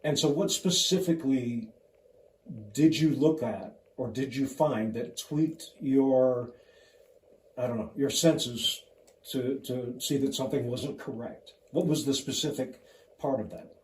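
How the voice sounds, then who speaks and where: A man's voice: medium pitch, a little slow. A man hosting a casual interview, asking chatty, friendly questions. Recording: echoey room with faint noise.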